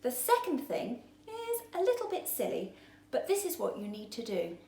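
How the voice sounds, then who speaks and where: A woman's voice: high-pitched, huge pitch swings, normal speed, vocal fry, mellow, a little hiss or hum, pitched above the performer's own voice, heavily acted. A woman voicing a tiny, eager fish who talks in a cheerful, encouraging way. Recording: roomy echo.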